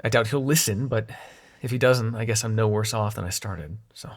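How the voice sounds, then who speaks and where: A man's voice: fairly low pitch, brisk. A man acting in an intimate audio roleplay as a young god of dreams, sarcastic and wounded but fond, talking in casual, sweary modern slang. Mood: hurt, vulnerable.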